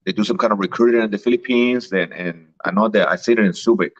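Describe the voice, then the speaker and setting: A man's voice: fairly low pitch, fast talker, dull audio. A man who grew up in Mexico, chatting casually and telling stories, often repeating words and laughing. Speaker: guest. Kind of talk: casual chat.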